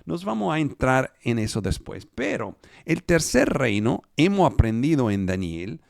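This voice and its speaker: A man teaching a live online Bible study in Spanish, which is not his first language, explaining scripture step by step and pausing on fillers. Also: mid-range voice, sing-song, creaky, dry recording, studio quality. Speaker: preacher.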